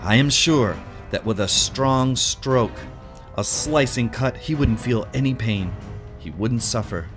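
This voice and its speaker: A man narrating a first-person short story dramatically, with deliberate pauses and emotive emphasis. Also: medium pitch, music in the background.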